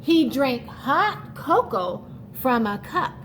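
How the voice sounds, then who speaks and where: A woman teacher leading a children's read-aloud lesson, speaking in a deliberate, instructional way and repeating key words. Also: high-pitched, sing-song, a bit bright, a little hiss or hum.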